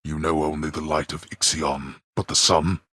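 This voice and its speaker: A man voicing a stern, scornful god of the dead and imperious father in a video game, with a booming, gravelly voice and formal, archaic phrasing. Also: little pitch movement, moderate pace, bright, forceful tone, no echo, studio quality, heavily acted. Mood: grave, weary disdain. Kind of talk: video game character voice acting.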